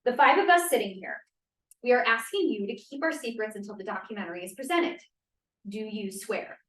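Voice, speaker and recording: A woman's voice: medium pitch, lively intonation, fairly quick, a bit bright, close to an everyday voice. Woman acting spirited secret-society feminist in echoey room. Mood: guarded, firm. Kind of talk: table read of a play script. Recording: echoey room, distant microphone.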